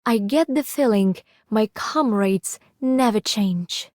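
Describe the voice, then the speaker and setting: Slow, mellow, reflective woman as a veteran. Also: mid-range voice, no echo, clean studio sound, lightly acted. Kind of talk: video game character voice acting.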